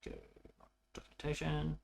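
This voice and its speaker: A man presenting a programming tutorial in a casual, unscripted way, haltingly thinking aloud as he works. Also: a little mellow. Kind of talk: casual coding walkthrough, unscripted.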